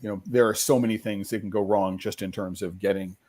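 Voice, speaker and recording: A man's voice: on the low side, steady tone, fast talker, darkish tone. A man hosting a casual live-stream interview, talking conversationally with frequent ums and restarts. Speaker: host.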